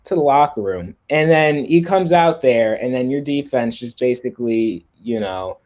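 A young man hosts a casual football chat over a webcam call. He talks in halting bursts, restarting his sentences as he passes questions to the others. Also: mid-range voice, expressive, darkish tone. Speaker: host.

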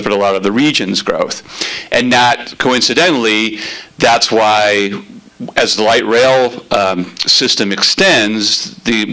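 A middle-aged man, a city transportation planner, giving a loud, chatty talk to a community meeting. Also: on the low side, poor audio. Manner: loud, chatty, relaxed explaining.

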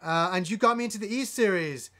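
A man in his forties, a gaming channel host, reading donations on a live stream with lively enthusiasm and rambling restarts, in a room with game shelves. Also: high-pitched, quick pace. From Yorkshire, England, raised in Canada. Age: 40s.

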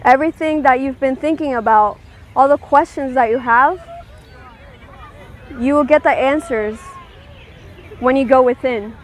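A woman leading a guided meditation, giving gentle, soothing instructions and checking in with her listeners. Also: sits high, measured pace, pauses often, slight background noise.

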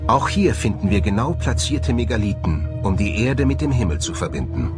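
Guy doing documentary voiceover, animated melody, music playing behind him. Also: lowish voice, normal speed. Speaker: documentary narrator. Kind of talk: scripted documentary voice-over narration. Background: background music.

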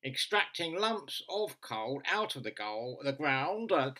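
A man performs an earnest funeral director phoning a radio show in a comic story. The voice is nasal and bright, with pitch that swings widely. He is hesitant and ponderous, repeating himself with deadpan solemnity. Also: takes it slowly, exaggerated voice. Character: earnest, long-winded male funeral director, radio phone-in caller.

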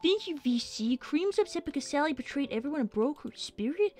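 A very high, animated cartoon fox boy played by a woman chats through a game livestream. He sounds young, earnest and excitable. Music plays behind him. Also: a little fast. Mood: chatty. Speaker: livestream host playing a game. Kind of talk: in-character casual game commentary.

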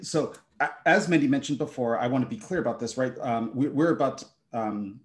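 A middle-aged man with German as his first language talks through a video call from his room at home, pausing often, starting sentences over and filling gaps with "uh" and "um". His voice sits at an ordinary, middle pitch for a man. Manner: hesitant, many fillers and restarts. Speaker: game designer, keynote speaker. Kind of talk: conversational panel talk.

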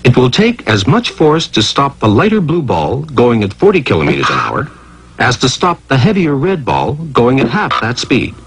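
A man's voice: mid-range voice, wildly swooping pitch, normal speed, a bit bright, a little hiss or hum. A man narrating an educational cartoon from a script in a theatrical, emphatic way, posing rhetorical questions.

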